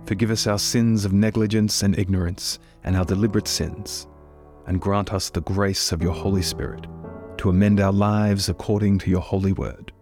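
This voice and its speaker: A deep-voiced man, hoarse with a head cold, gravelly and low as he leads liturgical prayer in an earnest, unhurried way. Soft instrumental music sits faintly behind him. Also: fairly even intonation. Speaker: church minister hosting a prayer podcast. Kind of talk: leading liturgical prayer and spoken reflection.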